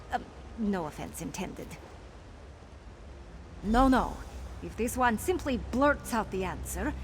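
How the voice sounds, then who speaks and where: Sly cat-folk rogue, lively. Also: female voice, fairly high pitch, slight background noise. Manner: third-person, wheedling, self-assured. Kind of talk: video game character dialogue.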